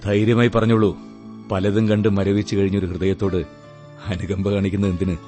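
An actor playing a wounded, world-weary young husband in a radio drama, sardonic and melancholy, speaking in measured phrases. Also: male voice, low voice, little pitch movement, dark tone, soft background music, natural voice. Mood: melancholy, probing. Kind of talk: Malayalam radio drama acting.

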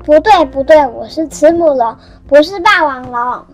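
A tiny, stubborn little boy dinosaur speaks in a very high, squeaky voice. He protests in insistent childish bursts, sounding close to tears. Faint music plays under the storybook reading. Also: animated, moderate pace. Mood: protesting. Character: young male dinosaur child, stubborn and tearful.

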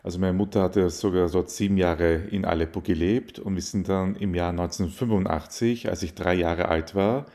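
A man's voice: deep voice, fairly even intonation, moderate pace, hardly any pauses, dark tone. A young man, answering interview questions in a thoughtful, hesitant way. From Austria. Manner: thoughtful, hesitant with fillers and restarts. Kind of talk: casual interview.

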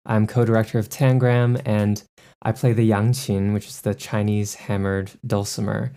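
A man, a guest musician who talks in a slow, measured way with pauses. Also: on the low side, little pitch movement, mellow. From San Francisco. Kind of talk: casual video-call interview.

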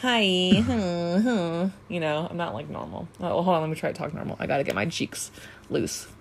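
A woman co-hosting a podcast in easy, casual chat. Her voice sits a little low for a woman, and she talks in a chatty, self-conscious way, full of fillers and restarts. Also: moderate pace, vocal fry. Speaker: podcast co-host.

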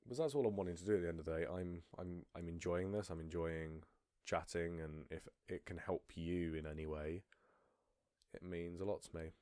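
Low-voiced guy rambling. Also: fairly even intonation, moderate pace, dark tone, no room sound. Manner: rambling, frequent restarts and stammered repeats. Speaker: podcast host. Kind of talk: casual solo podcast monologue.

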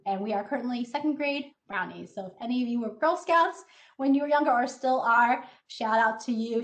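A woman in her thirties, a scientist, giving a friendly, explanatory online presentation to students over a video call. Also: a little high. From Taiwan, raised in the United States. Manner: friendly, explanatory, with filler uhs. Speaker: scientist giving a talk to kids.

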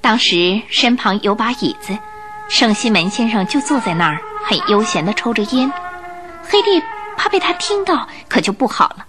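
A woman narrating a radio drama in an expressive, emotive storytelling style from a script. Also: fairly high pitch, moderate pace. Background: music.

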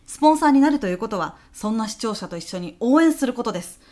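A woman's voice: on the high side, expressive, quick pace, mellow, natural voice. Polite, earnest businesswoman played by an actress. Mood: earnest, persuasive. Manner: deferential, polite business speech. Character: polite, earnest businesswoman pitching to a client executive. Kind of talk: comedy audio drama acting.

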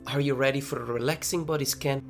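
Gravelly male meditation coach over guitar music. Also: medium pitch, steady tone, fast talker. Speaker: guided running meditation coach. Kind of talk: guided meditation narration. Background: plucked guitar music.

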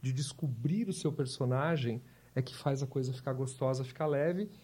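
A man's voice: mid-range voice, moderate pace, mellow, slightly rough. A man in his fifties from southern Brazil, a volunteer hospital clown, telling his story in an interview with a reflective, storytelling manner. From Porto Alegre, Brazil. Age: 50s. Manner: reflective, storytelling, with repeated restarts. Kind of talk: interview conversation.

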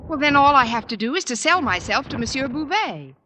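A wry schoolteacher from a vintage radio comedy, a woman with a highish, bright voice that swings freely through sardonic lines. The old recording has faint noise. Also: very animated, brisk, heavily acted. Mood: dry, brisk. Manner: dry, sardonic, crisp comic timing. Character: wry, wisecracking female high school English teacher. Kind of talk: 1940s-50s radio sitcom acting.

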